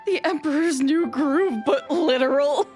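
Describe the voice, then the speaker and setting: Woman game streamer, slightly high voice, casual commentary, music playing behind. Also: unhurried, darkish tone.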